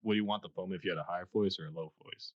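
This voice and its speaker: A man with a very deep voice chats casually as a podcast host, easygoing and quick with a joke, loose with his "likes." He sits close to a studio dynamic mic. The sound is dull, dry and a little lo-fi. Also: steady tone, dry recording. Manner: laid-back, joking, filler-heavy. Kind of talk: casual interview chat.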